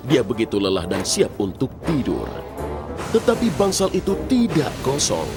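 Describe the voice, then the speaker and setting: A man's voice: medium pitch, huge pitch swings, moderate pace. A man narrating a scripted children's story. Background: music.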